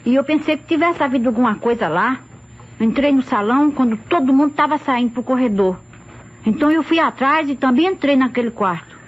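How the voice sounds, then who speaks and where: A woman's voice: high-pitched, animated, a little fast, husky. A woman with a Brazilian accent playing a simple, talkative, respectful housemaid in an old radio drama, speaking colloquially on a muffled, noisy recording. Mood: respectful, chatty. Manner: deferential, colloquial storytelling.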